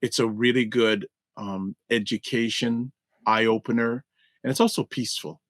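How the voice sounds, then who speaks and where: A man's voice: mid-range voice, fairly even intonation, normal speed, no room sound, studio quality. A man co-hosting a casual video-call talk show, upbeat, repeating points for emphasis and reading the sponsor message. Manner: upbeat, repetitive emphasis, announcer-like reads. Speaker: co-host. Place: indoor room.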